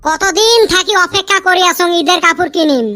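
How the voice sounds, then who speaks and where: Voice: very high voice, fairly quick, pushed and bright, dry recording. A performer voicing a cheeky, grumbling cartoon village boy in a rural northern Bengali dialect, bantering in comic dub style. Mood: complaining. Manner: teasing, grumbling. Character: cartoon village boys bickering over Eid shopping, cheeky and broke. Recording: clearly noisy background with clicks.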